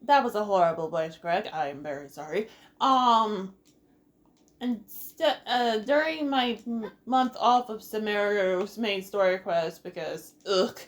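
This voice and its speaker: Casting: woman gaming host, high lively voice, rambling and playful, casual commentary. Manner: playful, rambling, sing-song exclamations. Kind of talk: casual Let's Play commentary.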